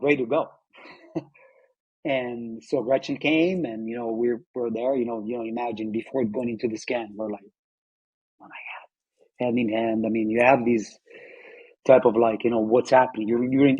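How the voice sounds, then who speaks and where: Husky man in his fifties. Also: medium pitch, a little mellow, dull audio. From Italy. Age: 50s. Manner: reflective, halting, many fillers and restarts. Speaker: patient telling his story. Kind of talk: personal testimony interview.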